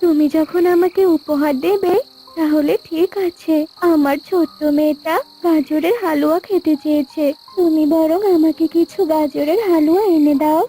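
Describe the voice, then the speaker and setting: Woman as a cartoon mother bird, very high pitch, earnest, plaintive, worried, music behind. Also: fairly even intonation, normal speed, warm tone, slight background noise, lightly acted. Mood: grateful. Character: poor, worried mother bird, loving and self-reproaching. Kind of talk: cartoon character voice.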